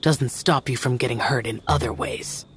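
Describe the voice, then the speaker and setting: Male actor as youthful immortal warrior for a game, stern and clipped, medium pitch, cold formality. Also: normal speed, heavy background noise, lightly acted. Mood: cold warning. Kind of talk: dramatic video game voice acting.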